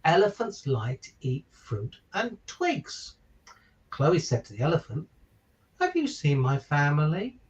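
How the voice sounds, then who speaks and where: Animated man reading a children's story, distant mic. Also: fairly high pitch, unhurried, muffled recording. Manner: chatty asides and questions to listeners. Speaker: stand-in children's story reader. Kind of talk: children's picture book read-aloud. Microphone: virtual call, away from the microphone.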